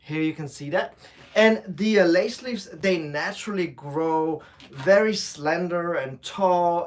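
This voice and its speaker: A man from Switzerland, co-owner of a bonsai shop, explaining a tree during a live demo in a relaxed way, with fillers and restarts. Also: on the high side.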